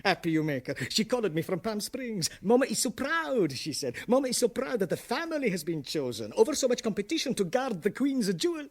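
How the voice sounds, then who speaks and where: Gangster boss for radio comedy: high, swooping, theatrical, menacing and childish. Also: male voice, sing-song, a little fast, few pauses, heavily acted. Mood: smug, pleased. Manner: mangled English, taunting, theatrical. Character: swaggering, spoiled, menacing gangster boss, a mother's boy. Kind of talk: comic radio play acting.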